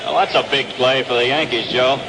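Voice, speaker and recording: A man's voice: mid-range voice, steady tone, fairly quick, background noise. An American man calling baseball play-by-play live on an old 1960s radio broadcast. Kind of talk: live radio sports commentary. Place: open-air ballpark broadcast position.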